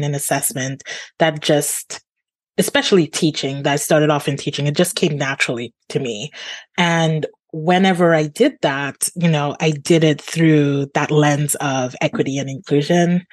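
Low-voiced Trinidadian-born woman. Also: no room sound. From Trinidad and Tobago. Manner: laughs often, animated and open. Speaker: guest. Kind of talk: conversational interview.

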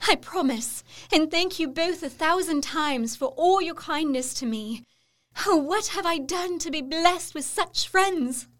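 A woman's voice: extremely high pitch, unhurried. An actress playing a sweet, trusting young Victorian lady in an audio drama, speaking in a gushing, genteel manner. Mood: grateful.

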